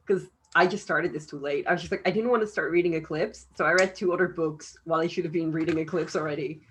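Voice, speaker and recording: A woman's voice: deep voice, quick pace, slight background noise. A woman chatting casually as a participant in an online book discussion. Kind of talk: casual chat. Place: video call.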